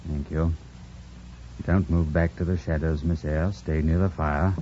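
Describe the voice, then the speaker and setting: An actor playing a stern, abrupt master of the house near forty in an old radio drama, formal and commanding. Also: male voice, a bass voice, fairly even intonation, measured pace, warm tone, a little hiss or hum. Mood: curt. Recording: muffled vintage radio broadcast.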